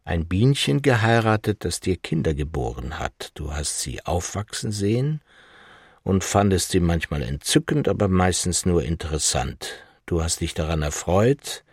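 Male narrator for literary audiobook, very low and husky, dry studio sound. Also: sing-song, moderate pace, no echo. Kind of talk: scripted literary narration in second person.

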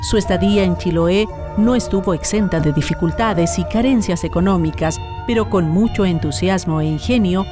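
A woman's voice: on the low side, moderate pace. Chilean woman narrating over music. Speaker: narrator and presenter. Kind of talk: scripted narration.

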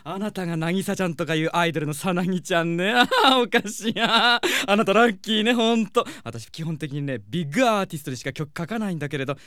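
A man's voice: high-pitched, fast, hardly any pauses, fairly bright tone, no room sound, clean studio sound. An actor playing a conceited, effeminate male hitmaker composer in a comic audio drama, theatrical and pompous, dropping English words into Japanese. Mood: smug. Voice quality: camp, effeminate lilt.

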